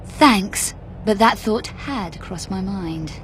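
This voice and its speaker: An actress playing a cool, sardonic British adventurer with a curt, unflappable manner in a video game. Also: female voice, medium pitch, expressive, slow pace, a bit bright, slight background noise. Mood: dry, impatient. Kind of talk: video game cutscene acting.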